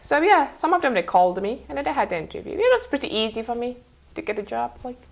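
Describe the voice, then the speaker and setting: A young woman from Mongolia with a Mongolian accent, answering casually in halting, self-correcting non-native English. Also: fairly high pitch, big pitch swings, creaky. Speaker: interview guest, international school teacher.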